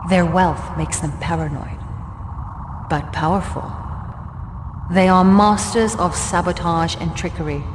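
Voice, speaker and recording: An actress playing a solemn, knowing adviser in a game cutscene, measured and portentous. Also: female voice, fairly low pitch, steady tone, close to an everyday voice. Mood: solemn, wary. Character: solemn, knowing female adviser warning of rival house. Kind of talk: video game cutscene narration. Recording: long cavernous reverb, faint noise.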